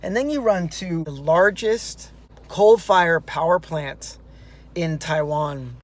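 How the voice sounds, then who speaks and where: A man's voice: a little high, slow pace. A man casually recapping a marathon for his vlog, chatty and rambling, with fillers and restarts. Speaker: runner vlogging a marathon. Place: outdoors and in a car.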